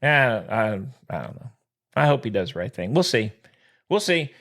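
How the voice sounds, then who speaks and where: A man co-hosting a podcast, chatting excitedly about sports and swearing freely. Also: moderate pace. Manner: excitable, swears, lively swings in pitch. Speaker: podcast co-host. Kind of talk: casual sports chat.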